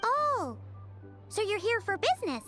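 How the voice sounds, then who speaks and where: A woman playing a tiny, chatty, excitable fairy companion with a childlike manner in a cartoonish video game. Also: very high-pitched, unhurried, over music, exaggerated voice. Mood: curious, impressed. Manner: childlike, refers to herself in third person.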